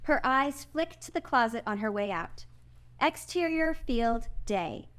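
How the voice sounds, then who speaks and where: A woman's voice: a little high, expressive, measured pace, a bit bright. A woman reading out screenplay stage directions as the narrator at a table read.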